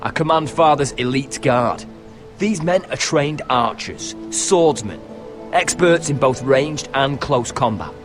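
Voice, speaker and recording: Music is clearly heard behind a cocky young guard commander in a fantasy game. His mid-range voice is very animated, confident and forthright. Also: medium pitch, takes it slowly. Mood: confident, persuasive. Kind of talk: video game dramatic acting.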